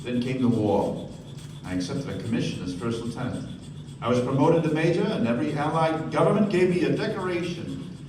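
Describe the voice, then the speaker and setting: A man's voice: medium pitch, expressive, a little fast, close to an everyday voice. A man playing a suave, courteous, wealthy American host with genteel phrasing in a stage radio play. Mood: earnest, confiding. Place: theater stage before an audience. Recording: echoey stage, distant mic, noisy room.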